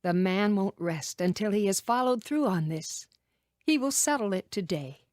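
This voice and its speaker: An actress playing a patient older mother-in-law in a dramatized scripture audiobook, gentle, motherly and reassuring. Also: mid-range voice, animated, normal speed, dry recording, clean studio sound, close to an everyday voice.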